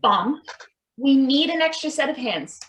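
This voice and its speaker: A woman's voice: high voice, expressive, unhurried, fairly bright tone. A woman acting a worried, questioning maintenance worker in a read-through of a satirical stage play. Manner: hesitant, questioning. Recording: echoey room sound.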